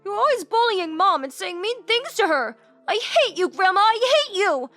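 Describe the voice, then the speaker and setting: A woman voicing a young boy, loyal to his mother and loudly protesting, in a narrated story. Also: very animated, moderate pace, dry recording, faint music behind, full character voice. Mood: tearful anger. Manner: shrill, protesting. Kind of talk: acted dialogue in narrated story.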